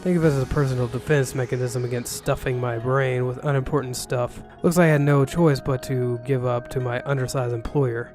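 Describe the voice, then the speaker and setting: A man's voice: mid-range voice, fairly even intonation, brisk, hardly any pauses. Wry man narrating a story over music. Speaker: narrator reading an interactive novel. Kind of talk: first-person story narration in character as a gruff ronin. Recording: music bed under the voice.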